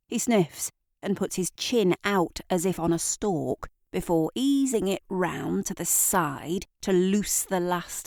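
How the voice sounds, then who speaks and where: A woman narrating a scripted literary story in a measured, theatrical storytelling manner. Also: fairly low pitch, darkish tone, dry recording, studio quality. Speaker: author and narrator.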